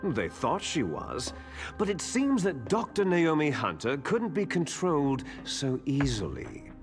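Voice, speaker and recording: An actor playing a bitter, taunting villain, the hero's twin soldier brother, in a theatrical, smug video game cutscene style. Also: male voice, on the high side, wildly swooping pitch, exaggerated voice. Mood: coolly explaining. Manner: theatrical, smug, drawn-out menacing delivery. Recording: loud game music behind the voice.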